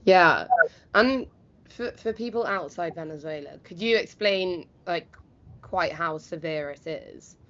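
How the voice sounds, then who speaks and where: A woman's voice: on the low side, unhurried, a bit bright, highs cut off. A woman hosting live news, putting questions to a guest and linking between stories. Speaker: news host.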